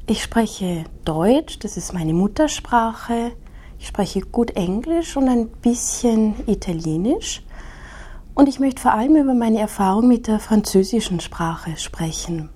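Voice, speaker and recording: A woman speaks slowly and thoughtfully. Her voice sits a little high but sounds soft and mellow. She weighs each phrase in a calm, reflective monologue. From Austria. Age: 30s. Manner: slow, measured and thoughtful.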